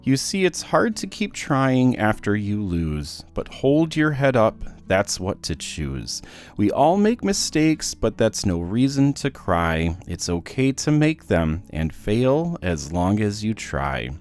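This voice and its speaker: A man's voice: on the low side, slow. A man voicing a patient, encouraging father who reassures and teaches his young son in a children's storybook. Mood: reassuring. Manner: reassuring, instructive, animated.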